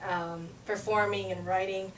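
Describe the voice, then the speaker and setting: A woman's voice: medium pitch, flat delivery, unhurried, distant mic. A young woman from Peru talks easily as an interview guest, trailing into fillers and restarts and breaking into laughs. She sits a little away from the mic in an echoing room, with a faint hum of ambience behind her. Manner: chatty, laughs often, many fillers and restarts. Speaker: singer-songwriter guest. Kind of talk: casual interview chat.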